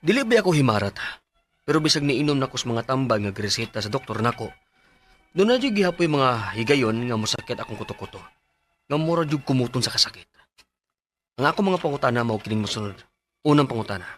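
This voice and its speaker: An actor with a Cebuano accent playing an anxious male patient with high blood pressure in a Bisaya radio drama. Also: fairly bright tone. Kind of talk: Bisaya radio drama acting and letter narration.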